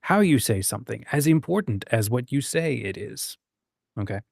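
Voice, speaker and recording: A man's voice: medium pitch, lively intonation, moderate pace, gravelly, a little mellow, no echo. Casual man with a dry, close sound. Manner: acts out short quoted examples. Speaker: host of a writing discussion. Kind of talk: casual explanatory discussion.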